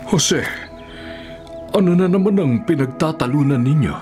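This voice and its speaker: A man's voice: on the high side, normal speed, mellow, soft background music, natural voice. A man playing a stern, older patriarch father in a Filipino biblical radio drama, grave and admonishing. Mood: stern, indignant. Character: Jacob, stern patriarch father, doting but easily offended.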